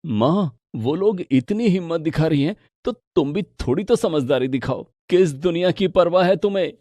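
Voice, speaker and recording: A man as an earnest young husband, highish voice. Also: expressive, normal speed, dark tone, dry recording, natural voice. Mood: reproachful. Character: supportive, earnest young husband standing up to his mother. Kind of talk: dramatic Hindi moral-story voice acting.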